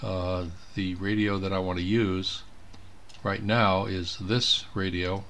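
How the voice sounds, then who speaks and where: A man giving an informal step-by-step software demonstration, thinking aloud as he clicks through each step. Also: low voice, little pitch movement, slow, a little hiss or hum. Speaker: presenter of a software tutorial. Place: indoors at a computer.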